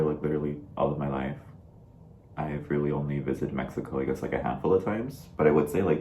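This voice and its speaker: A man's voice: extremely low pitch, creaky, warm tone, roomy sound, a little hiss or hum, rough recording. A young man from Texas co-hosting a casual talk show over a video call, chatty and full of "like" and "I mean". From Dallas, Texas. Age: young adult. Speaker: co-host. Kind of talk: casual chat.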